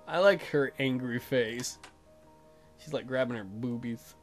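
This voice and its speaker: A man's voice: medium pitch, measured pace, faint music behind. A man hosting a let's play, reading game text aloud and tossing in irreverent, jokey ad-libs.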